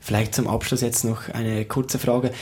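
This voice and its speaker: A man's voice: mid-range voice, flat delivery, moderate pace, a little mellow. A man hosting a radio interview, asking polite, formal, wordy questions. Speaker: radio show host.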